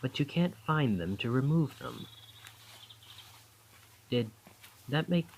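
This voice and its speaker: Charming, lively young male student, acted by a man. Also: mid-range voice, slow pace, creaky, slight background noise. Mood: musing, intense.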